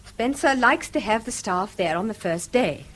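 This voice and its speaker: An earnest schoolmaster's wife in a mid-century radio play. Her received English voice is high and very animated. She speaks with strained, proper, pleading emphasis. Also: moderate pace. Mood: agitated, pleading. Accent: English, received pronunciation. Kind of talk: mid-century British radio drama acting.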